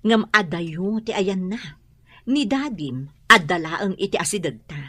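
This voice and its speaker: A woman's voice: mid-range voice, expressive, measured pace, pushed and bright, dry recording, clean studio sound. A woman playing a lovelorn, defensive mother in a radio drama. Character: lovelorn, defensive mother, torn between two men.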